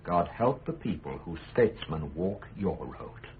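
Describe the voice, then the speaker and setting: An actor with a British accent playing a principled, defiant prisoner in a radio courtroom drama, speaking in measured, lawyerly oratory. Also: male voice, sits low, sing-song, phone-quality sound, a little hiss or hum, exaggerated voice. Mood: resigned, sorrowful. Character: principled accused statesman, grave, eloquent, defiant prisoner.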